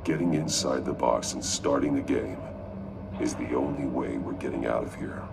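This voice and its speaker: An actor playing a stern masked vigilante detective in a video game, speaking in a terse, deliberate, analytical way with a gravelly growl. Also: male voice, rumbling low, recorded from a distance, very noisy. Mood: grim, analytical.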